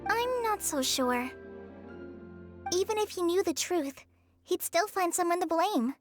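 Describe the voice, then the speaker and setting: A young female investigator reasons calmly in a high, light-pitched voice. It is a composed, analytical game performance over faint music. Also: unhurried. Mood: thoughtful, measured. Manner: calm, analytical. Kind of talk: video game voice acting. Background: soft game music.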